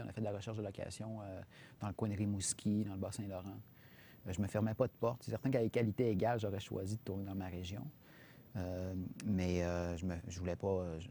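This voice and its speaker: Low gravelly Québécois man, halting. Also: dark tone, a little hiss or hum. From Quebec, Saguenay region. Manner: hesitant, many fillers and restarts. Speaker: film director being interviewed. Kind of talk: casual spoken interview.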